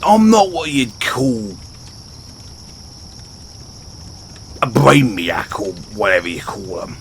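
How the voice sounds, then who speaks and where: A British man voicing a dim, sheepish orc barbarian in a fantasy audio roleplay, speaking in a halting, blunt, stammering way. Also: medium pitch, a bit bright, slight background noise. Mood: self-deprecating. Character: gruff but sheepish, dim orc barbarian adventurer, adult male. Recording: outdoor night ambience with crickets.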